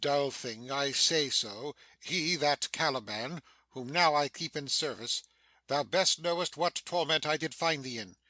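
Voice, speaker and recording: Man as stern sorcerer master, sharp forceful tone, dry sound, declamatory, imperious, scolding, Shakespeare audiobook drama. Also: a little high, unhurried, no room sound, poor audio. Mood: stern recounting.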